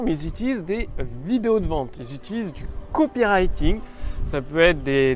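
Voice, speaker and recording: A man with a cold giving business coaching in a casual vlog, standing outdoors on a rock on an island, repeating his points emphatically. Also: sits high. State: has a cold.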